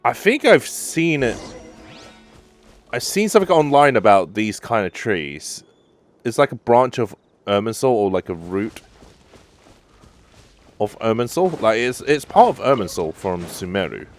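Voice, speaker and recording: A man's voice: medium pitch, sing-song, measured pace, pauses often, soft background music. Chatty young man reacting to a game. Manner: chatty, reacts aloud to the story. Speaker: gaming channel host. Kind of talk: casual gameplay commentary.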